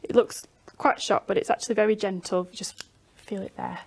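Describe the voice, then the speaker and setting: A woman's voice: medium pitch, steady tone, moderate pace, gravelly. A young adult woman working as a clinician, talking a patient through a physical exam step by step. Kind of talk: instructional clinical exam talk. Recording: faint background noise.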